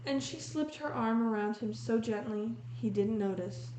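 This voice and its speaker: A woman reading a picture book aloud in a measured, descriptive storytelling manner, pausing to comment on the illustrations. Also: fairly high pitch, mellow. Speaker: read-aloud host. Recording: roomy echo with faint noise.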